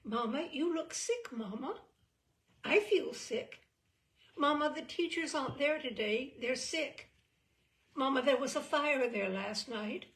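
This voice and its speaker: A woman's voice: sits high, animated, measured pace, a bit bright, voice pushed up, exaggerated voice. A woman reading a story and voicing an anxious little girl who pleads and makes insistent excuses. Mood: pleading.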